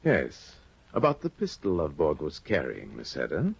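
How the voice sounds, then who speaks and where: A sly, deep-voiced judge. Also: male voice, wildly swooping pitch, normal speed, heavily acted. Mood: menacing. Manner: smooth, probing, quietly menacing. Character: sly insinuating middle-aged male judge. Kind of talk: 1950s radio drama acting. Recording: dull lo-fi 1950 radio broadcast.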